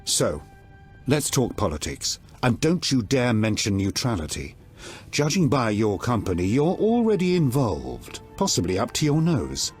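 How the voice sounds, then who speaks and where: Blunt domineering king, lively intonation. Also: mid-range voice, a little slow, faint music behind. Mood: irritated. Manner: blunt, earthy, authoritative. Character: gruff, foul-mouthed, domineering middle-aged king. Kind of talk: video game acting.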